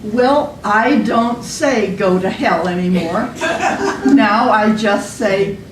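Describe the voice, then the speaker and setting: Woman playing a slow, falsely sweet schoolteacher. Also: medium pitch, background noise, close to an everyday voice. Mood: demure, sly. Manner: drawn-out, falsely sweet politeness. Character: sweetly polite woman schoolteacher hiding her scorn. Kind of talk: amateur readers theater comedy skit. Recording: roomy, distant live stage recording.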